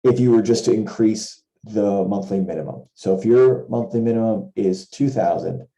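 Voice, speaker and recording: A man's voice: on the low side, warm tone, echoey room, low-quality recording. A man giving an informal explanation on a video call, talking through worked examples and rephrasing points as he goes. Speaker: trading educator and co-host of a Q&A. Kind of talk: informal explainer talk over a shared screen. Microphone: video call with screen share.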